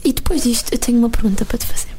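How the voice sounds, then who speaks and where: Uma mulher de Lisboa com sotaque lisboeta, a explicar com algumas hesitações numa conversa descontraída. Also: female voice, fairly high pitch, very fast, in a whisper. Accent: Lisbon Portuguese. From Lisbon, Portugal. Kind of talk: casual chat.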